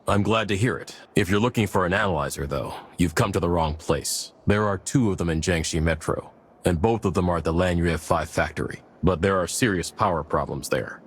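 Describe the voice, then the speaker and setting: A man's voice: sits low, a little fast, slight background noise, close to an everyday voice. An actor playing a firm, practical male guerrilla captain in a video game, direct and businesslike. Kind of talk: video game cutscene dialogue. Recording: music clearly behind the voice.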